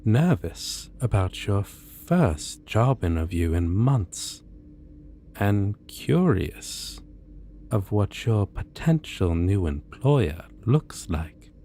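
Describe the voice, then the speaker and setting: A man's voice: lowish voice, sing-song, drawn-out words, mellow, a little hiss or hum. A man narrating a scripted second-person story for a roleplay.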